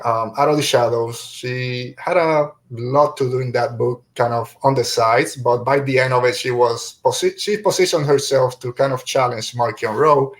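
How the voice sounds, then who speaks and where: A middle-aged man who is a native Spanish speaker, hosting a podcast and chatting casually through a review. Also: medium pitch. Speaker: podcast host.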